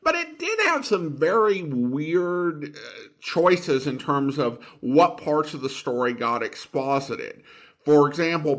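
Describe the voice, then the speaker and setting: A man's voice: a little high, fairly bright tone. A man hosting a podcast, giving conversational commentary on an episode. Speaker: podcast host.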